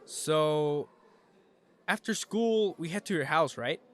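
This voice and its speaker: A man's voice: on the high side, expressive, takes it slowly, a bit bright. A man playing an anxious, smitten teenage schoolboy in an amateur audio drama. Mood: eager, shy.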